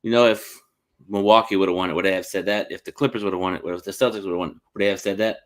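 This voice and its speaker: A man's voice: low-pitched, fairly even intonation, rapid-fire. A man hosting a sports podcast, chatting informally and agreeably as he interviews a guest. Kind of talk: casual sports interview chat.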